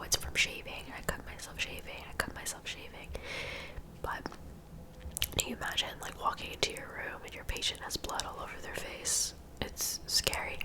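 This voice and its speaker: Softly whispering woman, ASMR storyteller. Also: rough recording. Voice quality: soft, breathy unvoiced whisper. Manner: gentle, informal, confiding asides. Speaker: host of a true crime ASMR series.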